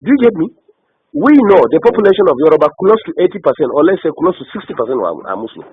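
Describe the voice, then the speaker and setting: A man's voice: on the high side, big pitch swings, very fast, breathy edge. A man from northern Nigeria with a northern Nigerian accent, arguing politics insistently on a phone call, holding the floor and checking that his listener follows. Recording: echoey, band-limited phone call recording.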